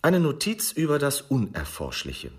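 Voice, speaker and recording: A man reading an essay for the radio in an articulate, measured manner. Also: mid-range voice, wildly swooping pitch. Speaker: reader of essays.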